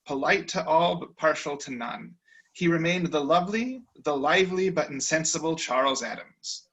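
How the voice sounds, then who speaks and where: A man narrating a comic period story in a wry, deliberate way over a video call. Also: fairly high pitch, animated, fairly bright tone, poor audio.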